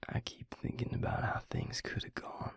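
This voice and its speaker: A man playing a weary, guilt-ridden vampire in an intimate audio drama, confiding in a husky, halting near-whisper. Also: no echo, studio quality, lightly acted. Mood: heavy, subdued. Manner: slow, halting, brooding confession. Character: weary, guilt-ridden male vampire and former healer, confiding in his mate. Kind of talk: intimate audio roleplay drama, hushed monologue.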